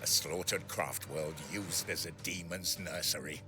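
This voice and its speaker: A man playing a stern, noble warrior knight commander in a video game cutscene, formal and forceful. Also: low-pitched, unhurried, music in the background. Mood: grave, resolute.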